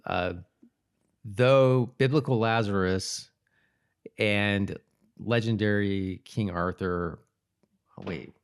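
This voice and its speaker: Fairly low-voiced man, halting podcast banter. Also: clean tone. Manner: informal, halting. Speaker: podcast co-host. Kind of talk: casual co-hosted chat.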